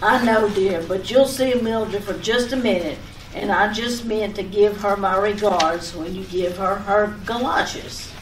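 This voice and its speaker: A woman's voice: mid-range voice, unhurried, close to an everyday voice. A woman playing a fussing, affectionate, nagging wife in an old-time radio comedy skit, often repeating herself. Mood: insistent. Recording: echoey room, distant mic, background noise.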